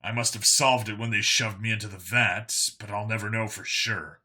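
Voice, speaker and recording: Man playing a world-weary, sharp-edged clone cop. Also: lowish voice, fairly even intonation, moderate pace. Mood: grim, resigned. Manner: matter-of-fact, explanatory. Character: Vincent, world-weary, guilt-burdened male clone cop.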